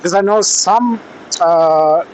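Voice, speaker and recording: A man talking casually and haltingly, with pauses, as he vlogs from a motorcycle through a helmet intercom microphone. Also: high-pitched, darkish tone, rough recording. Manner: hesitant, halting delivery with pauses. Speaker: motorcycle vlogger testing gear. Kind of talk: casual vlog talk while riding. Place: outdoors, riding a motorcycle in traffic. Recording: helmet intercom with faint vehicle and air noise.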